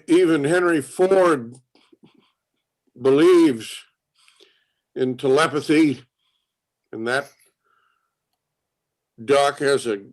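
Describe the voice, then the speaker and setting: Elderly inventor, male actor, eighties. Stubborn dreamer, slow and halting, stage drama dialogue. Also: fairly high pitch. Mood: eager, insistent. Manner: halting, repeating words, ruminative. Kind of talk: acted dialogue in a play reading.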